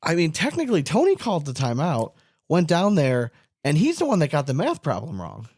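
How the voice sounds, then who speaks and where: A man's voice: on the high side, wildly swooping pitch, a little fast, a little mellow, husky, no echo, studio quality. A man co-hosting a sports podcast, talking casually and animatedly, rambling and swearing for emphasis. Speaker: podcast co-host.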